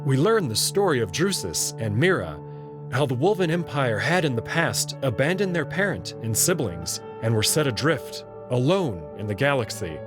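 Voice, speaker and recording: A man narrates a visual novel in a scripted storytelling style. His pitch is mid-range and moves in a lively way, with faint music underneath. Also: moderate pace.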